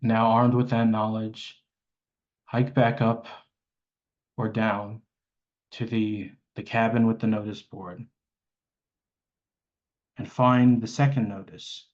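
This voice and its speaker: Man, lowish voice, guided meditation, hesitant mid-sentence pauses, dull lo-fi stream sound. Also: flat delivery.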